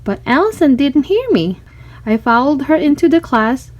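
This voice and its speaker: A woman narrating a children's storybook in an expressive read-aloud style. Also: high voice, moderate pace, highs cut off.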